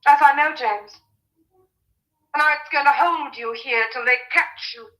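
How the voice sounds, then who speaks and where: An actress playing an anxious, protective young farmer's daughter, speaking earnestly in a vintage radio drama style. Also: female voice, high-pitched, normal speed, sharp tone, low-quality recording. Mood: fearful, brooding. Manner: earnest, emphatic, fretful.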